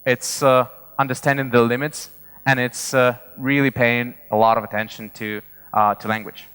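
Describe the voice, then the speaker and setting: A man giving an informal, explanatory conference presentation, speaking haltingly with frequent hesitations and repeated words. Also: medium pitch, level tone, a bit bright, clear, resonant tone. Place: large indoor conference hall. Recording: reverberant hall.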